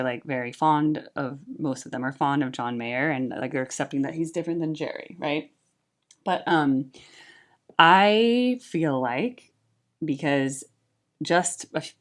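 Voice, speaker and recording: A young woman talking casually about music, earnest and chatty, with frequent "like" and "right?". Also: very deep voice. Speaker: YouTube music reactor and tribute band singer.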